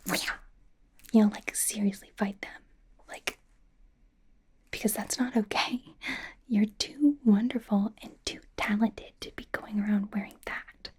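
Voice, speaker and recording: A woman playing a bubbly young female pop idol acting as a personal stylist in a softly whispered, informal, rambling ASMR roleplay. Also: medium pitch. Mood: earnest, protective. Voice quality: soft, breathy whisper. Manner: hesitant, rambling, informal, earnest.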